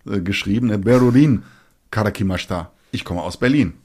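A middle-aged man with a fairly low voice hosts a casual podcast. He explains things patiently like a teacher. He keeps slipping in wry, ironic asides. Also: fairly quick, a little mellow. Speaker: podcast host. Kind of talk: casual chat.

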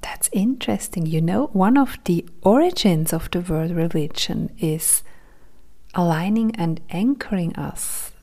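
Soft, gravelly woman hosting a podcast. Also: on the low side, expressive, unhurried. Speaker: podcast host. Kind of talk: interview podcast.

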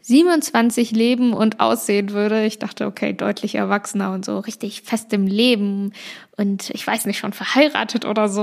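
A woman's voice: medium pitch, vocal fry. A woman in her late twenties talking reflectively in a casual personal podcast monologue, rambling a little with small restarts. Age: 20s. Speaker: podcast host.

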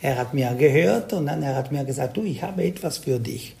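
A man from South Tyrol with an Italian accent, a singer answering interview questions in halting German full of fillers. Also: mid-range voice, expressive, vocal fry, dark tone. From South Tyrol, Italy. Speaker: Schlager singer, interview guest.

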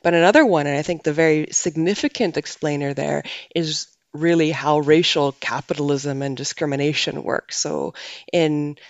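A woman researcher explaining migration in a measured academic podcast discussion, often restarting her sentences. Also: sits low, no room sound, lo-fi sound. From Canada.

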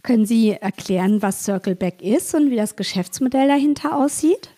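A woman's voice: a little high, lively intonation, moderate pace, mellow, dry recording. A woman hosting a business podcast, reading a scripted intro and then asking friendly, upbeat interview questions. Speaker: podcast host and PR lead.

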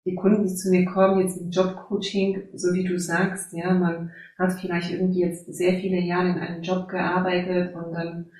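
A woman's voice: fairly low pitch, completely flat delivery, normal speed, darkish tone, recorded from a distance. A middle-aged woman from Silesia with a Polish accent, speaking earnestly as a guest about her life in Germany, with frequent fillers and little affirmations. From Poland, Silesia. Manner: earnest, many fillers and "ja" tags. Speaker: guest, job coach. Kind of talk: conversational interview. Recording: echoey room, off-mic.

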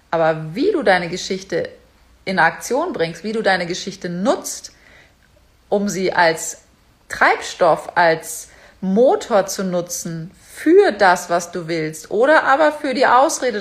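A woman giving a casual motivational talk straight to her viewers, chatty and direct. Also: mid-range voice. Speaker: life coach vlogger.

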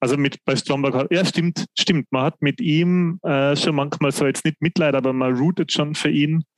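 A man's voice: on the high side, fairly even intonation, no room sound. A man, co-hosting a podcast, talking hesitantly with many fillers in casual chat. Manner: hesitant, many "ähm" fillers and restarts, slow when musing. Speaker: podcast co-host.

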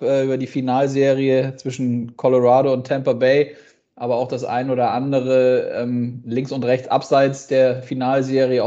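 A man's voice: mid-range voice, warm tone. A man hosting a conversational interview, on a slightly lo-fi recording. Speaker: interviewer, podcast host.

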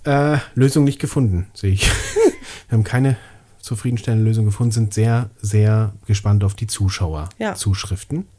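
A man's voice: fairly low pitch, sing-song, moderate pace, dark tone. A man co-hosting a casual podcast in a joking, self-deprecating way, laughing often. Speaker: podcast co-host. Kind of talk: casual chat.

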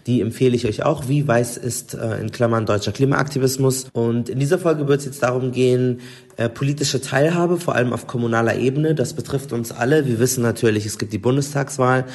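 A man's voice: mid-range voice, fairly quick, a little mellow. Chatty male podcast host. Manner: chatty, loose with fillers. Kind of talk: casual podcast intro.

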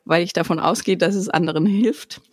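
A woman hosting a podcast interview, friendly and casual, often pausing on fillers. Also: on the low side, dry recording. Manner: friendly, hesitant with frequent fillers. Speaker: podcast host.

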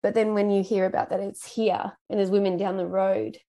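Woman interviewer, halting and searching. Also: medium pitch, little pitch movement, creaky, mellow, a little hiss or hum. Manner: hesitant, searching for words, many fillers. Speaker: interviewer, host. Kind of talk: warm interview chat.

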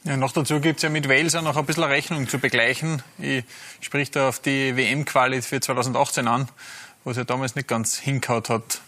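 A man interviewing a footballer in a lively, conversational way. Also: mid-range voice, fairly quick. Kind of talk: interview questions.

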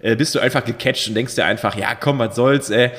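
A hoarse man in his thirties rattles off a long, rambling party story at a rapid clip. His voice carries a slightly croaky edge from the night before. A long reverb tail trails behind him. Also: mid-range voice, fairly bright tone, slight background noise. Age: 30s. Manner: rambling storyteller with many fillers. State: hoarse from drinking. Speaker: podcast co-host. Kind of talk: casual chat.

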